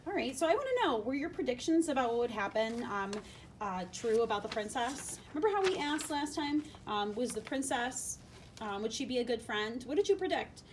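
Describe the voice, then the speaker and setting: A female teacher with a fairly high voice leads a lesson for young children. She asks questions expressively and sounds a little away from the mic, over faint noise. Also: animated, brisk. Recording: slightly distant mic, faint rumbling noise.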